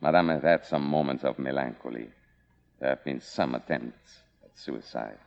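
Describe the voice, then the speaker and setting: A man playing a grave, formal, protective manservant in a mid-century radio drama, speaking with measured deference. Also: a bass voice, gravelly. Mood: grave, confiding. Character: solemn, formal, protective manservant to a faded star. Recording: dull vintage radio drama recording.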